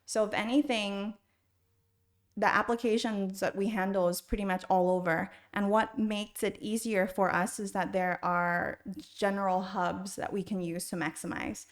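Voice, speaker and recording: A woman's voice: medium pitch, steady tone, normal speed. Woman, middle-aged, American accent, mid-range voice, conversational interview answers. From Thailand, then the United States from age six. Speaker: podcast guest, school university counselor.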